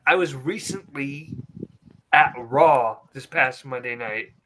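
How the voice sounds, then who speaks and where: A man's voice: medium pitch, slow, pushed and bright, rough recording. A man co-hosting a wrestling podcast in loose, casual chat, rambling into side stories and apologizing for going off topic. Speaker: podcast co-host.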